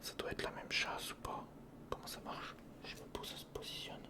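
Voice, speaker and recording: A man whispering informal ASMR commentary close to the microphone, murmuring step by step to himself and the viewer as he works. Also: a little fast. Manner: murmured, informal running self-talk addressed to the viewer. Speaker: ASMR video creator. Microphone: close recorder microphone.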